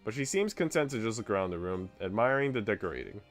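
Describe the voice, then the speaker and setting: Casting: male game streamer reading story narration, lower voice with animated intonation, light music behind. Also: fast talker. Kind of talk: reading visual novel narration aloud with chat asides.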